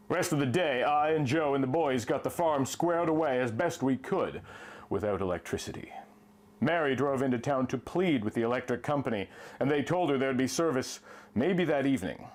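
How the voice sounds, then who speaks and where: A man reading a pulp adventure story in a theatrical, emphatic first-person style, drawing out the ends of phrases. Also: mid-range voice.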